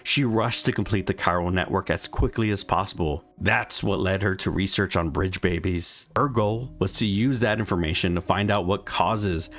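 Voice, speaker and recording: A man reading a scripted story recap in a measured, explanatory storytelling manner. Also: low-pitched, fairly bright tone. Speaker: narrator of a video game story recap. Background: background music.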